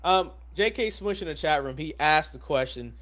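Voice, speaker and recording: A man's voice: a little high, lively intonation, moderate pace, fairly bright tone. A man hosting a casual sports talk podcast, speaking informally with slang and steering the discussion with questions. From United States. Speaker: wrestling podcast host.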